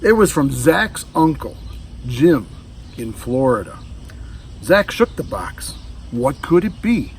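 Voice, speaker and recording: Animated man reading a storybook aloud. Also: medium pitch, slow, pauses often, far from the mic, slight background noise. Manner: adds asides to young listeners. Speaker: storybook reader. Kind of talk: children's read-aloud narration.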